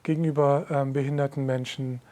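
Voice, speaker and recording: Man, project lead, flat and even, measured listing. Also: mid-range voice. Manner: steady listing, measured delivery. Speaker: anti-discrimination project lead. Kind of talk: interview statement.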